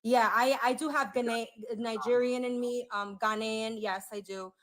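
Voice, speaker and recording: A woman in a casual group debate who keeps jumping in with quick interjections. She pads her points with "um" and "like" as she talks them through. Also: fairly high pitch, fairly even intonation, poor audio.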